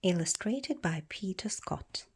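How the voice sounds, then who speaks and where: A woman reading a children's storybook aloud in a measured, expressive storytelling way. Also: fairly low pitch, husky. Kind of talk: read-aloud storybook narration.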